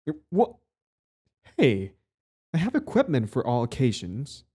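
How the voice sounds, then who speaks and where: A man's voice: medium pitch, wildly swooping pitch, a little slow, mellow, breathy edge, exaggerated voice. A man playing a cocky but easily flustered villain devoted to a date, in a casual, wry romantic audio roleplay. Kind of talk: one-sided romantic audio roleplay, conversational.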